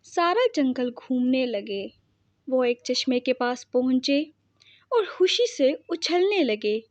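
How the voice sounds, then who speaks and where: High-voiced woman narrating a children's story. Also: expressive, takes it slowly, no echo.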